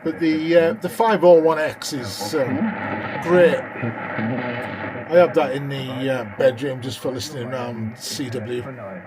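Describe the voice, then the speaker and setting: A man talks casually through a hands-on radio review, thinking aloud and pausing as he goes. His voice sits at an ordinary pitch. Loud radio noise runs behind him throughout. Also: fairly even intonation. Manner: hesitant, unscripted, musing aloud. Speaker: amateur radio hobbyist reviewing receivers. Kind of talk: casual vlog chat. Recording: noisy, with shortwave radio hiss. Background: radio static and broadcasts.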